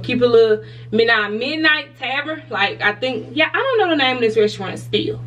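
A woman's voice: on the high side, a bit bright, recorded from a distance, slight background noise. A young woman, talking frankly to camera in a hotel room, laughing often. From Southern US. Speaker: vlogger. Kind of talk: casual chat to camera.

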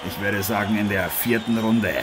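A man's voice: lowish voice, steady tone, moderate pace, crowd noise, lightly acted. Man playing a chummy boxing commentator, noisy recording. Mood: brash, curt. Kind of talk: improvised mock sports commentary. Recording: clearly noisy amateur recording.

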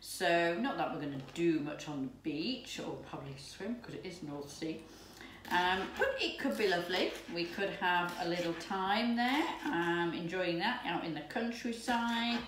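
A woman in her fifties chatting excitedly to camera while cooking in her home kitchen. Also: lowish voice, unhurried. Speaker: lifestyle vlogger. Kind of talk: casual chat to camera. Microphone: camera's built-in mic from a few steps away. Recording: echoey room, distant mic, background noise.

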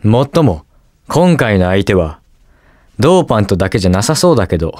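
A man's voice: fairly low pitch, brisk, dry recording, studio quality. A young man playing a cool, inquisitive detective superhero in a video game, with precise, teasing delivery. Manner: calm, precise, smug and curious delivery. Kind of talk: Japanese video game voice acting, short lines.

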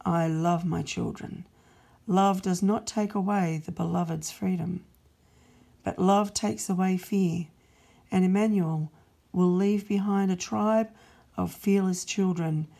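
Woman doing a stern heavenly king, slow and loud, gravelly and dark. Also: medium pitch, heavily acted. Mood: commanding. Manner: commanding, slow and emphatic. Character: booming divine father-king, stern and loving.

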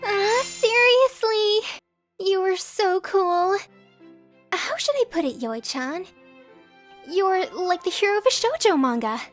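A woman's voice: very high-pitched, lively intonation, slow pace, exaggerated voice. A woman voicing an admiring, dreamy teenage schoolgirl in a manga dub, girlish and gushing. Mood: fond, teasing. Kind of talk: manga fandub acting. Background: music.

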